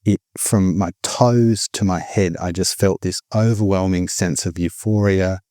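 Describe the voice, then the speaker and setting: Deep low-voiced aussie guy, middle-aged, candid and reflective, dry close sound. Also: steady tone, a little slow, mellow, dry recording. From born in the Philippines, raised abroad. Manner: reflective, candid, with frequent restarts. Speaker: guest sharing recovery story. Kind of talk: personal storytelling.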